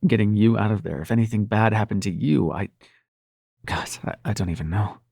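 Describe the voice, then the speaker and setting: A man's voice: on the low side, creaky, a little mellow, slightly rough, no echo, clean studio sound. A man playing a brash, flustered prince of nightmares in love, stammering and swearing through an intimate audio roleplay. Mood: flustered, confessing. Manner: halting, stammering, sweary and sardonic. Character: male supernatural prince of nightmares, brash, flustered, smitten lover.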